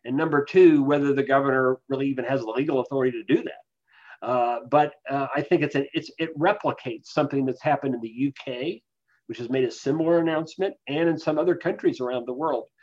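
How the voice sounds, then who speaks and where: A man with a mid-range voice speaks as an expert interview guest. He explains things in a measured, analytical manner, and the recording is somewhat dull and lo-fi. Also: medium pitch, darkish tone. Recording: dull, lo-fi voice capture.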